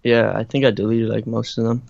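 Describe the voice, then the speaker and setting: A young man from Ireland with a slight Irish accent, answering interview questions in a halting, casual way, full of fillers and restarts. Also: medium pitch, flat delivery, gravelly, a little mellow, no room sound. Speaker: interviewed musician. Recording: dry, muffled lo-fi recording.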